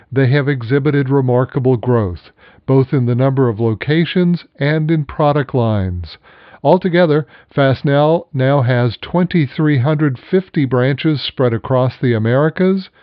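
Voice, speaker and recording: A man hosting a financial program, reading scripted news in a measured, formal manner. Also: medium pitch, no echo, highs cut off.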